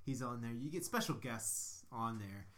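A man's voice: mid-range voice, animated, moderate pace, fairly bright tone. A man co-hosting a podcast in casual chat, rambling and joking with lots of fillers. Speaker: podcast co-host.

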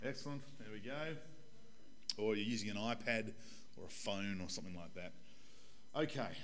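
A man in his forties, a pastor with a croaky, hoarse voice, giving a conversational and earnest sermon in a church. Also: on the low side, moderate pace. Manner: conversational, earnest preaching with fillers. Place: church hall.

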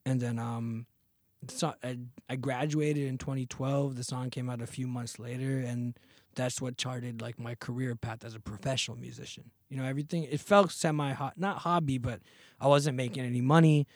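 A relaxed man in his thirties tells his story in loose, slangy chat, his voice sitting at an ordinary middle pitch. The sound is close and dry, with hardly any room around it. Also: normal speed, no room sound. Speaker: podcast guest, singer. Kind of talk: casual interview chat.

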